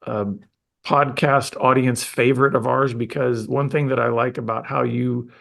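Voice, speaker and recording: Gravelly-voiced male podcast host. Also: medium pitch, flat delivery, normal speed, fairly bright tone. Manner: rambling, enthusiastic, many restarts. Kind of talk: casual interview chat.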